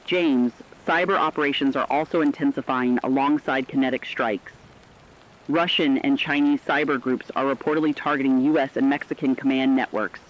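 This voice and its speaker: A man playing a serious radio war correspondent in a formal, measured scripted news broadcast. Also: mid-range voice, muffled recording, close to an everyday voice.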